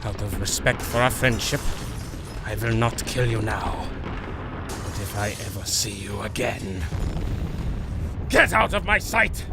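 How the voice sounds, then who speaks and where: A man's voice: on the low side, expressive, measured pace, creaky, pauses often, over loud music. A man playing a grandiose, vengeful baron, theatrical and menacing, in a pulp adventure drama. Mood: cold fury.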